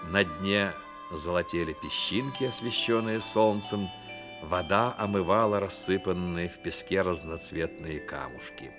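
A man narrating a Soviet radio play as a grave, measured storyteller. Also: deep voice, music in the background. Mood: grave, lyrical. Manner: measured, literary delivery. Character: grave male storyteller of a childhood tale.

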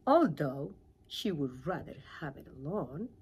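Expressive, unhurried woman reading a storybook. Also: low-pitched, breathy edge. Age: middle-aged. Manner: animated, dramatic pauses, asks listeners questions. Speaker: teacher reading a storybook aloud to children.

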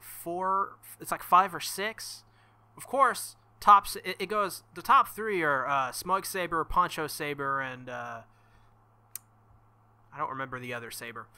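A young man commenting casually on a visual novel, with joking, crude, excitable asides. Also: high voice, clear, resonant tone. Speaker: let's-play host.

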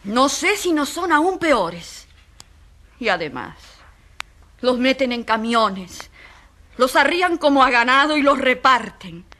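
A woman's voice: sits high, sing-song, slow pace, long pauses, sharp tone, exaggerated voice. An actress in a radio drama playing a passionate, indignant woman who defends the poor, speaking emphatically in repeated, building lists. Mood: bitter, indignant.

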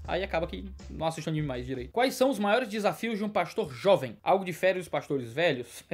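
A man in his twenties, a pastor, answering viewer questions in a quick, explanatory way from a study lined with bookshelves. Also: a little high, very animated, husky, soft background music. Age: 20s. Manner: fast, explanatory, gestures with hands.

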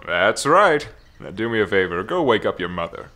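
A man's voice: lowish voice, very animated, normal speed, bright, forceful tone. A man voicing a volatile, shifty dad who turns sweet with family, in an anime parody dub. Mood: cheerful. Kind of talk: fan parody dub acting.

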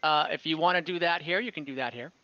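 A young man as dungeon master on a video call, relaxed and rambling with many fillers. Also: a little high, fast, no room sound. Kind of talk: running an online tabletop game. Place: home room. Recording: slightly dull video-call audio.

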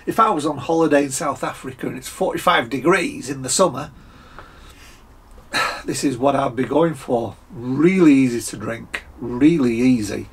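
A Scottish man in his fifties with a croaky voice, chatting casually through a beer review at his desk, with frequent fillers and throat clearing. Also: mid-range voice, normal speed. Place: home workshop, indoors, small room.

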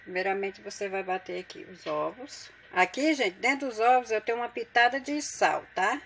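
A woman's voice: medium pitch, animated, normal speed. Woman, Brazilian Portuguese accent, chatty cooking host, home kitchen, phone camera, faint noise behind. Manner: chatty, addresses viewers as gente.

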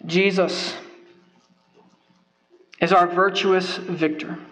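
A man's voice: high voice, level tone, extremely slow, fairly bright tone. A young man preaching from a church pulpit, earnest and emphatic, stressing points by repeating phrases. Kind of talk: sermon. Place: church sanctuary, at a wooden pulpit. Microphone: pulpit microphone.

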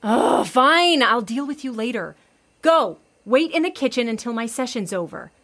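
A stern, exasperated daughter in a comedy podcast. Her voice sits a little high. She speaks curtly, with dry, scolding impatience. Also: expressive, normal speed, a bit bright. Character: exasperated, stern adult daughter, a child therapist. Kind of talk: audio comedy acting.

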